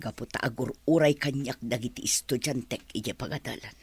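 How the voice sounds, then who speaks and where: A woman's voice: extremely low pitch, normal speed, darkish tone, husky, no room sound, full character voice. A woman with an Ilocano accent playing a hurt, guarded schoolteacher facing her estranged husband in a radio drama. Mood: hurt, indignant. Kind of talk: Filipino radio drama acting.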